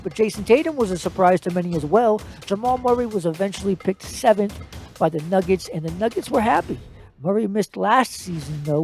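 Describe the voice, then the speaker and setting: A man with an American accent hosts a solo sports podcast, and he talks in a casual, easy way. His voice is mellow and dark in tone. A faint music bed plays quietly behind him. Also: high voice. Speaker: podcast host.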